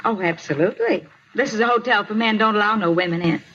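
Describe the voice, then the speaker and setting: A woman's voice: medium pitch, quick pace, a bit bright, close to an everyday voice. A woman playing a nosy, self-righteous American manager of a cheap hotel in a 1950s radio drama, folksy and insistent. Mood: firm. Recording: dull, noisy 1950s radio recording.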